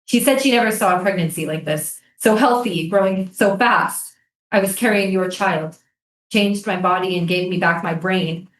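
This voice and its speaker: A woman's voice: medium pitch, moderate pace, natural voice. Woman acting haunted, devoted mother, echoey room. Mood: tender, intense. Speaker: actor in a staged reading. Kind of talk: staged play reading.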